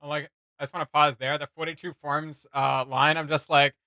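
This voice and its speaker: A man hosts a casual reaction chat with a bright, forward voice. His wording is informal and slangy. The sound is dry, with very little room on it. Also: on the high side, flat delivery, a little fast, smooth, no echo. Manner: informal, mildly sweary, slangy. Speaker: reaction video host.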